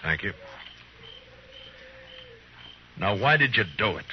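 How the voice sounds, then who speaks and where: An actor playing a terse, principled American frontier marshal in a 1950s radio western. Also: male voice, lowish voice, animated, fast, close to an everyday voice. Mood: firm. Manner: terse, grave, plain-spoken. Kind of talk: 1950s radio drama acting. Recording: muffled, noisy 1950s radio recording.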